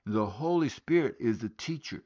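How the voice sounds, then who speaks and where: A man from West Africa preaches in earnest, telling a story and pausing on rhetorical questions. His voice sits at an ordinary middle pitch for a man. The recording is muffled and lo-fi, as if the top end has been shaved off. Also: expressive, a little mellow. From West Africa, born in Liberia, raised in Guinea. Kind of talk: sermon.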